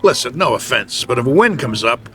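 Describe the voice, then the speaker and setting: Wisecracking, animated baseball manager, American. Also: male voice, mid-range voice, brisk, faint music behind. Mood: mocking. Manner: sarcastic, slangy, brash. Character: wisecracking, exasperated manager of losing baseball club. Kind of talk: radio drama acting.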